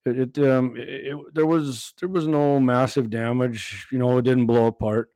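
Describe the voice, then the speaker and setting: A hoarse man, hosting a casual podcast and talking haltingly, repeating words as he goes. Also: medium pitch, steady tone, warm tone, no echo. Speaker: podcast host.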